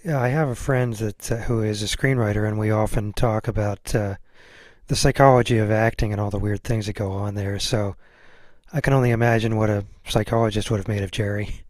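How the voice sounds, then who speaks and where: Low-voiced man, very dry close sound. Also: steady tone, fairly quick, a little mellow, no echo. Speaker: podcast host, interviewer. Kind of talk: casual chat.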